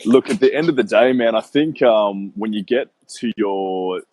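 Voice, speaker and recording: Low husky-voiced man in his thirties. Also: normal speed, darkish tone, dry recording. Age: 30s. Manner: rambling, earnest, lots of "um" and "you know". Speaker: guest, business owner. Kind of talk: casual interview conversation.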